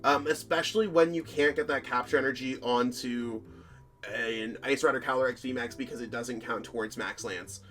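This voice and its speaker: A man of average pitch talks through card game deck lists in a loose, rambling way, his voice slightly hoarse. He fills gaps with ums and corrects himself mid-sentence as he goes. Faint music plays quietly behind him. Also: fairly even intonation, normal speed. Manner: chatty, rambling, lots of fillers and self-corrections. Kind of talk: casual commentary over deck lists.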